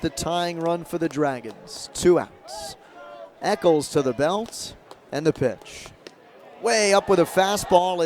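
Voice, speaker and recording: A man doing live radio play-by-play of a baseball game as the announcer, in measured calls with emphasis on key moments. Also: fairly high pitch, a little mellow. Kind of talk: live radio sports commentary. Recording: crowd and ambient stadium noise behind. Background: ballpark crowd murmur.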